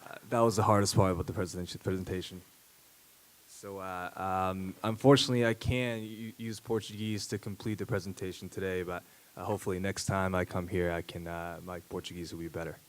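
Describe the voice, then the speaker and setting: A man in his thirties, a native Japanese speaker, giving a conference talk at a podium with a handheld mic, pausing often with fillers and restarts. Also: low-pitched, fairly even intonation. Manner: halting, many fillers and restarts. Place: large dark auditorium stage.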